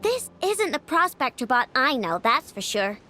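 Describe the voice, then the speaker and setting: Very high-voiced young girl inventor. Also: sing-song, moderate pace, pushed and bright, a little hiss or hum, exaggerated voice. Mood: curious, thoughtful. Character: young girl inventor, confident, curious, tinkering. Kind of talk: video game voice acting. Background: game music.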